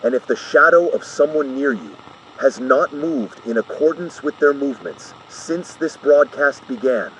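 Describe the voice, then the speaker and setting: A man playing a calm, formal emergency broadcast announcer in an analog horror piece, measured and ominous. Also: mid-range voice, a little hiss or hum, lightly acted, low-quality recording.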